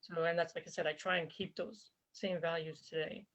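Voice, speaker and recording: A woman's voice: lowish voice, steady tone, fairly quick, fairly bright tone. A woman raised in Canada and born in Zambia, speaking earnestly and conversationally as an interview guest, with frequent fillers. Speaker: interview guest, former volunteer fighter. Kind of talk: casual spoken interview. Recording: dull lo-fi recording.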